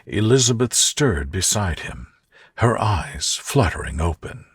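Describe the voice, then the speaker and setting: Male narrator for sleep stories: soft and gentle, slightly husky, very unhurried delivery. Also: low-pitched, big pitch swings, no room sound, clean studio sound. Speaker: narrator reading a sleep story. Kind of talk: soothing scripted bedtime narration.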